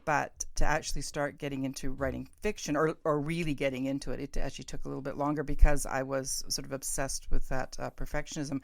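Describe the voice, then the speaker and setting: A woman's voice: extremely low pitch, little pitch movement, fast, gravelly, few pauses, no room sound. A middle-aged woman hosting a casual interview, informal, encouraging and enthusiastic in how she talks. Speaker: podcast host and interviewer.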